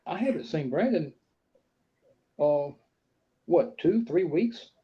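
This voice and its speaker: A man's voice: on the high side, expressive, slow, husky. A man chatting casually with fellow paranormal investigators, asking short, hesitant questions. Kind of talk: casual group chat. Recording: muffled, noisy line.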